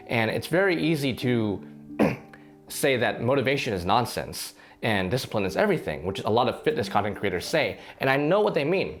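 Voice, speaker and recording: A slightly hoarse man, a fitness channel host, talks earnestly to the camera in a casual reflection, with frequent restarts and fillers. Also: fairly low pitch. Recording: faint guitar music underneath.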